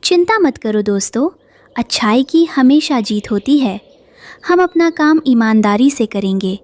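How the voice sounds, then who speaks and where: A woman's voice: on the high side, animated, a little slow, no room sound. A woman voicing a cheerful, motherly bird who runs a bakery. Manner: warm, motherly, welcoming.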